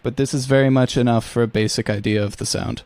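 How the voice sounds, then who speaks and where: A man's voice: medium pitch, fairly even intonation, fast, darkish tone, clear, resonant tone, no echo. Dry-sounding man giving a casual tutorial. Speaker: tutorial presenter. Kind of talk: casual audio-production tutorial.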